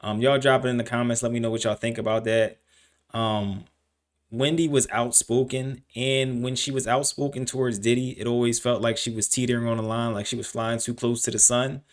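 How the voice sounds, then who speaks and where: A man gives casual commentary as a reaction host. His voice sits at an average pitch for a man. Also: flat delivery, brisk.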